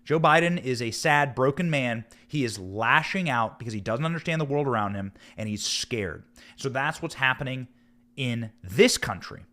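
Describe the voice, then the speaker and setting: Male American political host: dramatic, emphatic delivery with very lively, swooping intonation. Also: medium pitch. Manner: emphatic, dramatic, with rhetorical questions and drawn-out stressed words. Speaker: political commentary show host. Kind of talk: live political commentary with news read aloud.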